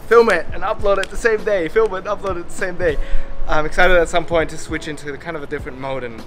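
A young man with a fairly high, light voice chats to a handheld camera on an open-air city rooftop. He sounds upbeat and easygoing, with background music playing under his talk. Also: fairly even intonation, fast, a bit bright. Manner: chatty and upbeat, laughs often. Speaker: vlogger, parkour filmmaker. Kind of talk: casual vlog talk to camera.

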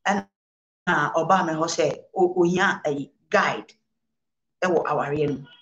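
A woman's voice: low voice, a little slow, fairly bright tone, roomy sound, highs cut off. A woman reverend with a Ghanaian accent, answering interview questions in a preaching manner, mixing English and Twi and repeating her phrases for emphasis. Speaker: reverend guest. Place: studio set, indoors.

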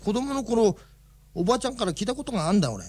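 A man's voice: high voice, sing-song, fast talker, a little mellow. An actor playing a folksy, chatty alien man who explains things in a Japanese radio drama. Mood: explanatory, chatty.

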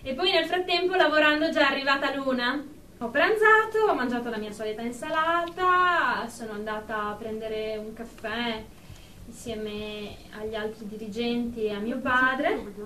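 A haughty, irritable businesswoman played by an actress speaks in a high voice, snappish and self-important. It is comic radio drama, caught in an echoing room away from the mic. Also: moderate pace, sharp tone. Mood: self-important, aggrieved. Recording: roomy, distant mic with faint noise.

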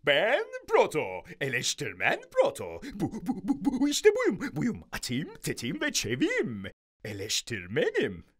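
Husky show-off cartoon critic, super swoopy voice, full of himself. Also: female voice, deep voice, wildly swooping pitch, a little slow, heavily acted. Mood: grandiose. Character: pompous boastful film critic. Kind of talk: dubbed cartoon acting.

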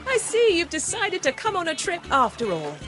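Cartoon otter captain: high, animated, hearty, encouraging, music underneath. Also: female voice, normal speed, full character voice. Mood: jolly, hearty. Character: cheerful welcoming female otter ship captain. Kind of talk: children's cartoon game acting.

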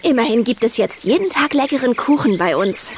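A woman's voice: a little high, expressive, brisk, a little hiss or hum. A woman playing a cheerful horse-loving teenage girl in a children's audio drama. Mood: cheerful, eager.

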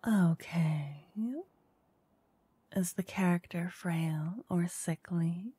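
A woman doing a soft-spoken ASMR roleplay as a flirty dungeon master. She teases, then whispers close into each ear in turn. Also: lowish voice, fairly even intonation, very slow, breathy edge, dry recording. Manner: teasing, flirty, switching between whispers and soft speech. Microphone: close binaural mic.

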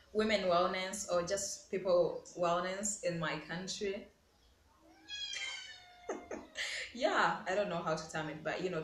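A woman's voice: fairly low pitch, a bit bright. A woman in her twenties with a Ugandan accent, speaking emphatically to camera in a casual opinion vlog and repeating key words. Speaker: vlogger. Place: small bare-walled room indoors. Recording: echoey room with background hiss.

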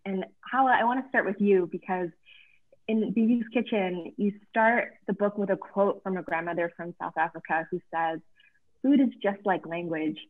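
A woman moderates a virtual panel of authors, speaking in an effusive, measured way. Her voice comes through thin and phone-like, squeezed down to a narrow band. She sits at a middle pitch. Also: steady tone. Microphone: video call. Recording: tinny phone-like video call audio.

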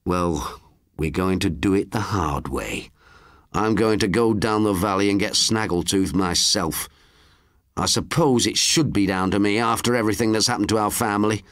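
A man's voice: lowish voice, normal speed, a bit bright, dry recording, studio quality, natural voice. A man voicing a resentful grown man who scoffs and growls in a storybook reading. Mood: bitter. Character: bitter, obsessed grown man.

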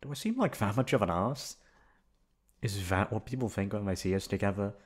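A man acting as a college-age delinquent with a fairly low voice and a soft heart for his best friend. He talks casually and teasingly, swearing and hesitating as he goes, in an intimate ASMR roleplay. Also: fairly quick, natural voice. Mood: hurt, earnest. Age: young adult. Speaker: voice actor.

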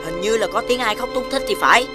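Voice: mid-range voice, quick pace, lightly acted. A voice actor playing a mild, sheepish young boy in a children's cartoon, speaking hesitantly and meekly. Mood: concerned. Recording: loud children's music behind voice.

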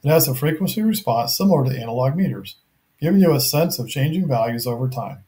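A middle-aged man narrating a scripted technical product review in a measured, methodical, matter-of-fact way. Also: mid-range voice, lively intonation, mellow.

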